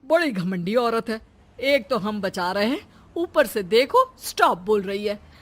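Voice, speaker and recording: A woman's voice: medium pitch, very animated, unhurried, a bit bright. A woman voicing a disgruntled, judgmental bystander in a cartoon story, scornful and complaining.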